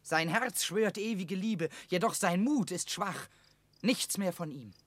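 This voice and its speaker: An actor playing a fervent, reckless young conspirator in a classical radio drama, speaking with urgent intensity. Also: male voice, high voice, a little slow, a bit bright, no room sound.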